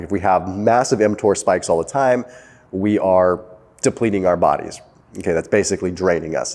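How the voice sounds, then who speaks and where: A man, not yet sixty, casually explaining health research as host of his own channel, talking fast and emphatically with frequent "okay" and "like". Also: deep voice, darkish tone, long reverb tail. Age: young adult.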